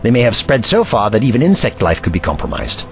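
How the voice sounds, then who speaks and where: A man's voice: fairly low pitch, wildly swooping pitch, fast talker, creaky, soft background music, heavily acted. A man voicing a haughty, eccentric time-traveling scientist in an audio drama, pompous and lecturing.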